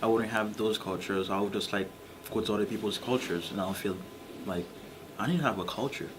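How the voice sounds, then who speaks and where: A man's voice: fairly low pitch, fairly quick, noisy background. A sixteen-year-old boy from Haiti giving brief, casual interview answers in loose phrasing. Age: teens.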